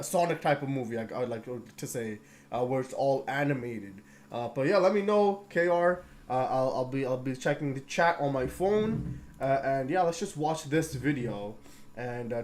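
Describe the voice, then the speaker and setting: A man hosting a casual livestream, rambling and easily excited. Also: fairly high pitch. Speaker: livestream host. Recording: echoey room with faint noise.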